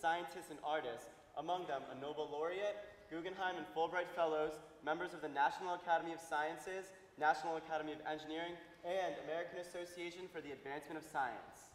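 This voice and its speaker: A man's voice: fairly high pitch, fairly even intonation, fast talker, hardly any pauses. A young man delivering a scripted stage recitation as a student performer. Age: young adult. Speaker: student performer in a readers theater. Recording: echoey noisy hall, distant stage sound.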